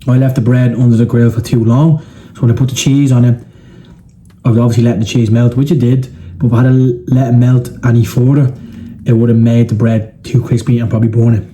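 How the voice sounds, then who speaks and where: A man's voice: mid-range voice, flat delivery, a little fast, dark tone, a little hiss or hum, poor audio. A young Irish man hosting a cooking video, talking casually and bluntly with the odd swear. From Ireland. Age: young adult. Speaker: cooking channel host. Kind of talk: casual cooking vlog narration.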